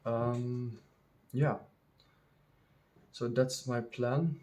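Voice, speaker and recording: Dutch native speaker, man, 30s, vlogger; slow, halting, lots of ums and restarts, mid pitch. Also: steady tone, darkish tone. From Netherlands. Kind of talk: casual unscripted monologue.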